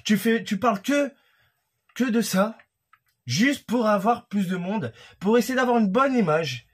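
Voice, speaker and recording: A man's voice: sits high, expressive, unhurried, breathy edge. A young man from France, a YouTuber, ranting heatedly and accusingly to camera from a room at home. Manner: heated and accusatory, talking straight to the target. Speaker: YouTuber commentator. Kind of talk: casual rant to camera. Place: room at home with a creaky wooden floor.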